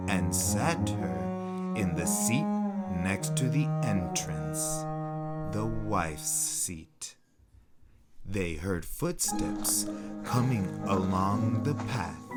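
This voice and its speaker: A man reading a folktale aloud in a measured, dramatic way with deliberate pauses, over music. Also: lowish voice. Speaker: storyteller. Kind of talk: dramatic read-aloud storytelling.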